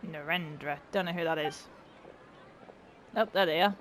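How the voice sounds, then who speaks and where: A woman's voice: low voice, normal speed, slight background noise. A woman giving casual, sweary, joking reaction commentary over a video game. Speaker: gaming commentator.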